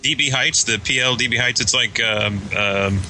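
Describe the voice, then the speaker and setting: A man's voice: mid-range voice, moderate pace, pushed and bright, slight background noise, rough recording. A man chipping in casually on a podcast conversation. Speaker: podcast participant. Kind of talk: casual chat.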